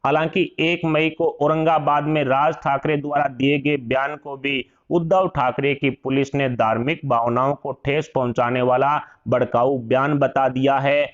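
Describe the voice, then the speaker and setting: A man's voice: medium pitch, muffled recording. A man reads scripted political news as a voice-over narrator.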